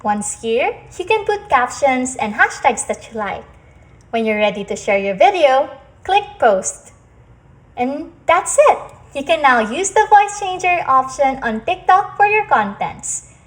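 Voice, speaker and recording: A woman's voice: high-pitched, big pitch swings, unhurried. A woman reading a scripted how-to narration as a tutorial host, explaining in a step-by-step, instructional way.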